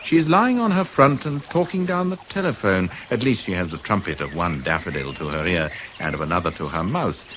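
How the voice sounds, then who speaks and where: A man's voice: sits low, lively intonation, a little fast, fairly bright tone. A man narrating a radio drama as a storyteller setting the scene. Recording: faintly hissy old radio broadcast.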